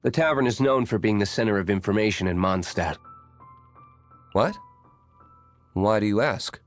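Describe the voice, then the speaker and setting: An actor playing an aloof, brooding young nobleman warrior in a video game, reserved and formal, speaking in measured, curt lines. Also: lowish voice, soft background music, lightly acted.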